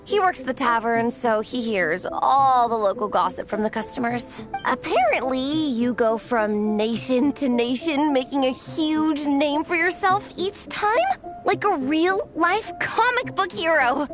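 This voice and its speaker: A woman's voice: high-pitched, a little slow, music in the background. A woman voicing an earnest, wide-eyed young girl who loves comic books, in a video game. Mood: awed, excited.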